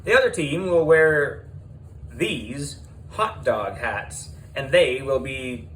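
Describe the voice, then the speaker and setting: A man's voice: mid-range voice, animated, slow, fairly bright tone, slight background noise, low-quality recording. A man voicing a brash, pushy female TV producer with emphatic showbiz hype in a children's audiobook. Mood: scheming, upbeat.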